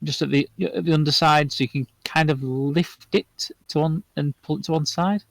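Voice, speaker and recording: A man narrates a tabletop game as its game master, his voice sitting a little high. The sound is dry and close, with no room around it. He talks in a loose, relaxed way and often restarts his sentences. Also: moderate pace, creaky, no room sound.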